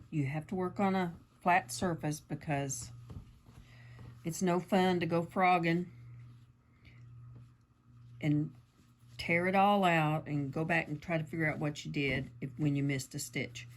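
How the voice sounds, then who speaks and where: A woman, a little croaky from allergies, chatting her way through a casual yarn craft tutorial with lots of ums and asides. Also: deep voice. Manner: chatty, meandering, lots of fillers. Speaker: crafting channel host.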